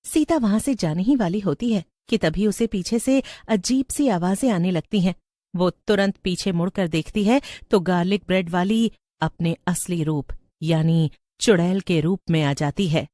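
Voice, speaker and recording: A woman's voice: on the low side, normal speed, mellow, no room sound, clean studio sound. A woman narrating a scripted story as the storyteller.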